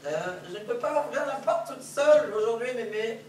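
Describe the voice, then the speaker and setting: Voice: medium pitch, fairly quick, slight background noise, natural voice. A teen acting out a sly coaxing wolf on a school stage. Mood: squeaky fake. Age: teenager. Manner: gentle coaxing, feigned sweetness. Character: smooth-talking male wolf, sly and hungry. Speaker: student actor. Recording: echoey hall from far off.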